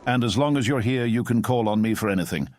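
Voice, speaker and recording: A man playing a calm, hospitable older contact with a secret compound, smooth and reassuring. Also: medium pitch, fast talker, lightly acted.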